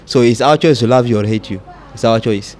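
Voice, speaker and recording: A man's voice: medium pitch, brisk, a little mellow. Young man from south sudan, blunt, emphatic, candid interview talk. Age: young adult. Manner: blunt, emphatic, mimics people in quotes. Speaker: guest.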